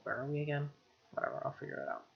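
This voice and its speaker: A man's voice: a little high, sing-song, very fast, vocal fry, dull audio. A man hosting a game stream, chatting casually and reading narration aloud, with muttered offhand asides. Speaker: streamer, let's play host. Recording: music playing behind voice. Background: game music.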